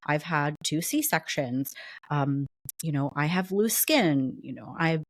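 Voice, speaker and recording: Low-voiced woman podcast host. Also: takes it slowly, no echo. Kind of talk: casual podcast chat.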